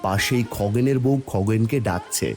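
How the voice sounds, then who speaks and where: A man's voice: on the low side, moderate pace, a little mellow, soft background music. A man narrating a scripted cartoon story as the storyteller.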